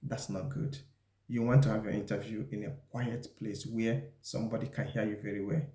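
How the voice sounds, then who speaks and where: A man presenting an instructional talk, explaining things deliberately and speaking straight to the viewer. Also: fairly low pitch, level tone, mellow. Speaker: video presenter giving job interview advice. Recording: echoey, roomy sound.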